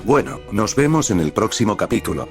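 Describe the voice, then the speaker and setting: A young man reading fanfiction aloud in a steady, even narrating style. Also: lowish voice, a little fast, soft background music. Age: young adult. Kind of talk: audiobook-style story narration.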